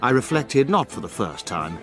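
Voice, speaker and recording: An actor playing a proper, dryly disapproving gentleman who narrates a mystery drama in formal, measured phrases. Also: mid-range voice, sing-song, close to an everyday voice. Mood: wry. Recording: music bed behind studio narration.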